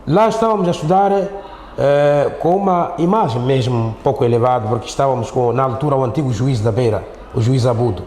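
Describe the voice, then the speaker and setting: Mellow-voiced Mozambican man in an echoey room. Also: mid-range voice, brisk. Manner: repetitive, often ending on tag questions. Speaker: interview guest, Islamic teacher. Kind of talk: conversational storytelling in an interview. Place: echoey studio. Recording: roomy echo, faint background noise.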